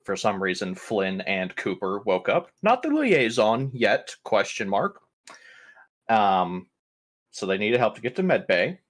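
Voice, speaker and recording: Lowish-voiced man with very animated delivery. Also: unhurried. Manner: profane, joking, irreverent. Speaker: tabletop RPG player giving a session recap. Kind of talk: casual improvised recap.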